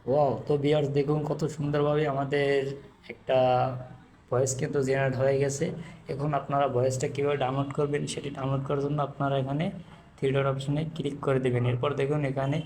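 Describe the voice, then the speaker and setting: A man's voice: medium pitch, monotone, quick pace, darkish tone. A man hosting a video tutorial, narrating instructions step by step. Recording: faint background noise.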